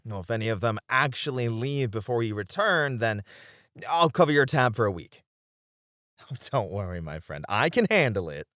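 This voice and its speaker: A man playing a flirty, supportive club host in an ASMR roleplay, speaking in a gentle, reassuring way. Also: mid-range voice, animated, moderate pace, dry recording, studio quality, natural voice. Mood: playful, reassuring. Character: flirty, supportive male club host comforting his friends.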